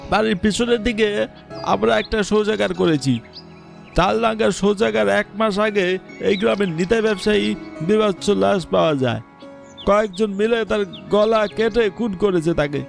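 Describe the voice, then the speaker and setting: A man voicing a village uncle in a horror cartoon, creaky-voiced, admonishing and gravely telling the tale. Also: sits high, moderate pace, faint music behind. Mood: scolding, grave. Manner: admonishing, expository storytelling. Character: village uncle, scolding, gravely explaining a haunting.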